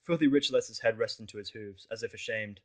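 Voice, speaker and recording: A man narrating a fan fiction audio drama in the first person, expressive and wry. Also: lowish voice, fast talker.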